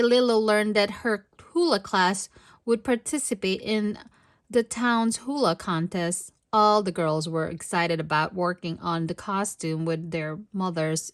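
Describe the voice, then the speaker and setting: Female storytime narrator, unhurried, expressive, speaking straight to young kids. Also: mid-range voice. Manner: expressive, addressing young children. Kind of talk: children's read-aloud narration.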